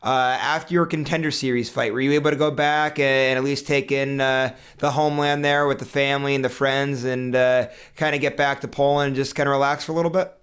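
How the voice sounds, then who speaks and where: A man's voice: mid-range voice, little pitch movement, fairly quick, few pauses, fairly bright tone, clean tone. A man hosting an interview, asking long, rambling questions full of fillers. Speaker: interview host.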